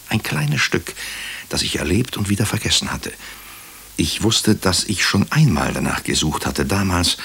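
A very low-voiced, husky male hospital doctor narrates a crime radio play. He is brooding and wry. His phrases come measured and clipped, as if thinking aloud. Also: fairly bright tone, exaggerated voice. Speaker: narrator and lead character. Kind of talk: German radio drama, first-person crime narration.

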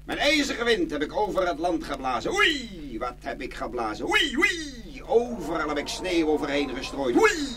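A man's voice: on the high side, huge pitch swings, moderate pace, hardly any pauses, far from the mic, a crowd in the background. A man playing the boisterous, boastful North Wind, an unruly son, in a children's fairy-tale radio play. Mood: blustering, boastful. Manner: loud, blustery, boastful.

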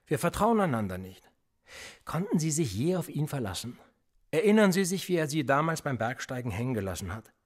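Radio drama: sardonic middle-aged police interrogator, husky, highly animated delivery, pressing and needling. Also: male voice, fairly quick. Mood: pressing, incredulous. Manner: pressing, needling, rhetorical questioning. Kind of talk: German radio play acting.

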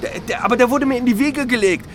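A man's voice: very high voice, quick pace, background noise. An actor playing a flustered, entitled man in a satirical stage comedy, stammering with agitated indignation. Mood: flustered, indignant. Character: flustered, entitled man who lost his privileges.

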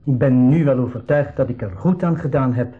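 An actor playing a proud, wary rebel leader who speaks formally, with a Flemish accent, in a 1980s school radio play. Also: male voice, mid-range voice, fairly quick, narrow, tinny audio, lightly acted. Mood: earnest, wary.

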